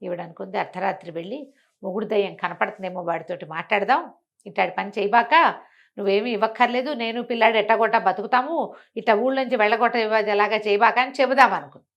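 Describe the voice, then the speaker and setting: Expressive woman telling a village bedtime tale. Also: medium pitch, quick pace, highs cut off. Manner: expressive, acts out villagers' exclamations and asks rhetorical questions. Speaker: storyteller. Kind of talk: oral bedtime storytelling, conversational.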